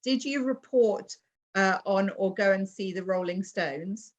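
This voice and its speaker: A woman's voice: medium pitch, unhurried. A British woman hosting an online event over a video call. She reads audience questions aloud and chats back easily, laughing now and then. Her accent is from England. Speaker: interview host. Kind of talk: hosting a virtual interview, reading audience questions.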